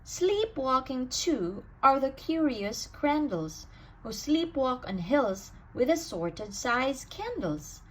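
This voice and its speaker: A woman reading a rhyming bedtime storybook aloud in an expressive, sing-song way. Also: high voice, takes it slowly, poor audio. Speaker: storybook reader.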